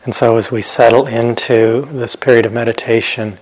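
A man giving guided meditation instructions as a teacher, speaking gently and unhurriedly with pauses and phrases that trail off softly. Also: mid-range voice, fairly even intonation, low-quality recording. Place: meditation retreat center.

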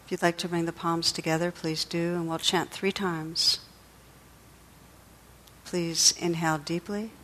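A woman leading a guided meditation as a teacher, speaking in a gentle, soothing, unhurried way with pauses. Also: on the low side, level tone, a little hiss or hum.

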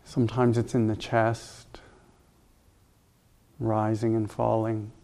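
A man leading a guided meditation as a teacher, speaking calmly and gently in unhurried, spaced instructions. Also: on the low side, vocal fry.

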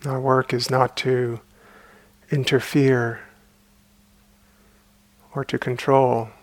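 A man guiding a meditation as a teacher, speaking calmly and unhurriedly in short phrases with long pauses. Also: medium pitch, dry recording. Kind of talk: guided meditation.

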